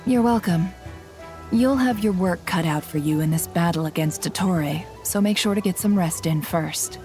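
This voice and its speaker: A woman's voice: on the low side, moderate pace, dark tone, faint music behind, close to an everyday voice. A woman playing a blunt, dry-witted young fighter in a video game cutscene, curt and sardonic.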